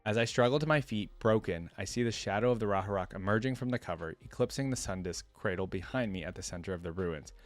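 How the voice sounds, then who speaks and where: A man's voice: fairly low pitch, quick pace, hardly any pauses, soft background music. A man hosting a reaction video, reading a fantasy story aloud and commenting in an informal, colloquial way.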